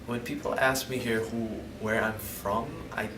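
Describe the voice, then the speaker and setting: Hesitant young man, low, roomy and distant. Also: fairly even intonation, a little slow. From Indonesia. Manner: hesitant, searching for words, many restarts and "like". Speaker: interviewee. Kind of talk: personal storytelling interview. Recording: roomy, distant mic, ambient noise.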